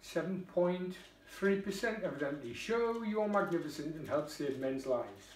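A man's voice: a little high, lively intonation, moderate pace, a little hiss or hum. A middle-aged man with a Geordie accent, chatting casually and wryly at home as he reviews a beer, with the odd swear word. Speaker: beer vlogger. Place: home kitchen, indoors. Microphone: camera across the table. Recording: roomy kitchen, voice from a distance.

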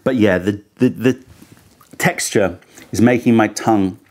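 A man's voice: lowish voice, unhurried, a little mellow. A man in his thirties hosting a casual tea tasting review, thoughtful and candid, a bit critical, speaking into a lapel mic in an indoor studio. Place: indoor studio set with sofa.

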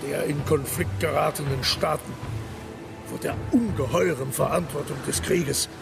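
An actor playing an imperious, vain German emperor with a pompous, commanding manner in a historical audio drama. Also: male voice, on the high side, moderate pace, vocal fry, music in the background. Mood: content, assured. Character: imperious German Kaiser, vain, confident, easily indignant.

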